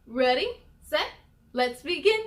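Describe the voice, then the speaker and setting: High, animated woman reading to children. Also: takes it slowly. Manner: expressive, addresses young viewers directly. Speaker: story-time host. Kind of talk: children's storybook read-aloud.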